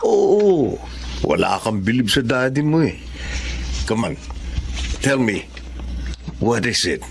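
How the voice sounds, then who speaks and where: A man's voice: mid-range voice, very animated, slow, gravelly, a little hiss or hum, heavily acted. A man with a Filipino accent acting a tender, affectionate widowed father in a radio drama. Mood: playful, confident. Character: Richard, tender widowed father of a young daughter. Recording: studio radio drama with background music.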